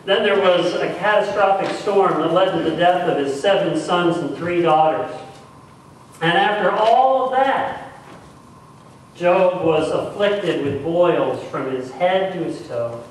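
Middle-aged man as pastor, deliberate sermon with repetition for emphasis, very reverberant church, distant mic. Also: sits high. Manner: deliberate, dramatic pauses and repeated phrases for emphasis. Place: church sanctuary, speaking at the front. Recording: echoey church, distant mic, faint noise.

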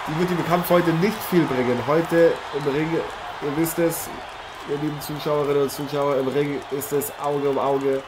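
A man's voice: on the high side, little pitch movement, moderate pace, natural voice. A man playing an eager, effusive TV boxing host in an improvised German audio drama. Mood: engaged. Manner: effusive, formal address to viewers. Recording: noisy arena ambience behind voice.

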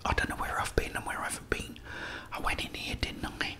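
A middle-aged man whispering breathy ASMR commentary as he plays a video game, chatting playfully to the characters. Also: brisk.

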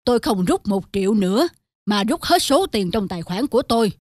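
A man's voice: high-pitched, big pitch swings, a little fast, no echo, clean studio sound. A man voicing a stubborn, wily middle-aged customer in a cartoon, insistent and matter-of-fact. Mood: smug, indignant. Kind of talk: cartoon dubbing. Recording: music under the voice.

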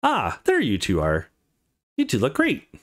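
A polite, caring woman from a visual novel game, read out by a man. She speaks at a middle pitch. Her manner is motherly and gently pleading, with an anxious edge. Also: huge pitch swings, a little slow, heavily acted.

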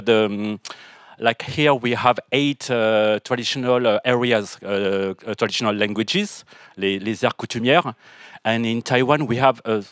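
A man with a French accent, a native French speaker, asks a long, halting question from the audience at a conference. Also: on the low side, a bit bright, no echo, clean studio sound. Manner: hesitant, many fillers and restarts. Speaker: audience member asking a question.

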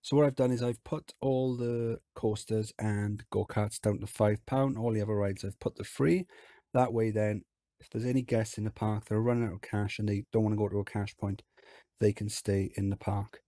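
A man with a low, gravelly, husky voice, hoarse and croaky from being unwell. He talks through his game in a relaxed, chatty way, and the sound is dry and close. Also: moderate pace, no echo. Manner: relaxed, chatty, explains as he plays. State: hoarse from illness. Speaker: game video host. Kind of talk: casual game commentary.

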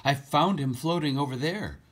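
A gracious young princess with a raised, sweet, cooing voice, as a man reading a storybook puts her on. She sounds soft and tender, lilting upward. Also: fairly high pitch, normal speed, breathy edge, pitched above the performer's own voice.

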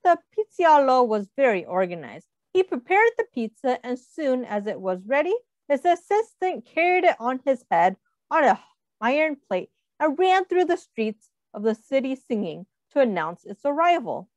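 A woman reading a picture book aloud to young children, expressively, pausing to explain and ask questions. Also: sits high, big pitch swings, dry recording. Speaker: read-aloud host, teacher.

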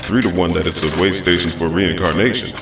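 A man's voice: sits low, brisk, recorded from a distance. A man playing a solemn, patient superhero in a comic-book drama, formal and serious, in an echoey sound. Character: solemn, patient male superhero delivering status report. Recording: echoey, loud music under voice. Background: loud music.

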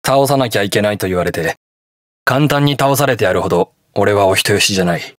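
An actor playing a brash, hot-blooded young detective hero in a video game, gruff, terse and defiant. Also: male voice, lowish voice, lively intonation, fairly quick, no echo, studio quality, lightly acted. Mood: stern, resolute. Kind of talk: video game battle voice lines in Japanese hero-show acting.

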